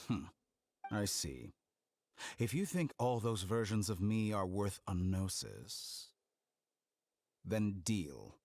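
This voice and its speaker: An actor playing an arrogant, calculating scholar villain in a video game cutscene, coolly amused, with a theatrical, condescending delivery. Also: male voice, on the low side, very animated, takes it slowly, long pauses, darkish tone, slight background noise, full character voice. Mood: calm, dismissive. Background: music.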